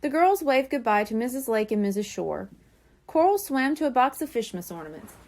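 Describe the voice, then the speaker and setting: A woman reads a children's chapter book aloud. Her voice is high and swings widely, expressive and animated throughout. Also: brisk. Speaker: librarian reading aloud. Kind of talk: children's storybook read-aloud.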